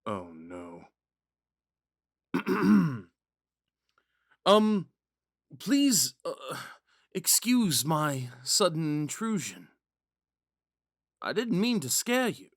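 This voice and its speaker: A man's voice: fairly high pitch, wildly swooping pitch, drawn-out words, long pauses, dry recording, studio quality, full character voice. Man playing a wistful young prince, swooping intonation. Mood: apologetic, reassuring. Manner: musing, hesitant, courteous, with sighs and whispered asides. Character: young prince, third in line, wistful, gentle, smitten romantic. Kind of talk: romantic audio roleplay with inner monologue.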